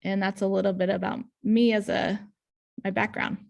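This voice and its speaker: A woman's voice: medium pitch, little pitch movement, normal speed, gravelly. A woman in her thirties from Indiana, an ENL teacher giving a conference talk over a video call, earnest with frequent ums and restarts. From Indiana, USA. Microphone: webcam on video call.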